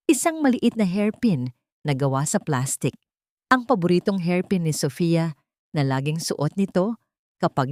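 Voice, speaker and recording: A woman's voice: on the low side, a little mellow, no echo, clean studio sound. A woman narrator reading a scripted dramatic story for an audio drama.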